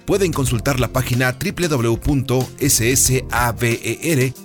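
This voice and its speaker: A man's voice: mid-range voice, a little slow, soft background music. A man with a Mexican accent presenting a radio series in scripted narration.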